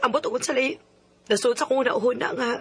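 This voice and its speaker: A woman's voice: high-pitched, fairly quick, a bit bright. An actress playing a regretful, plaintive woman longing for an old love in a Filipino Cebuano radio drama. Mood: yearning. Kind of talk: sentimental radio drama acting. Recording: radio drama with music bed.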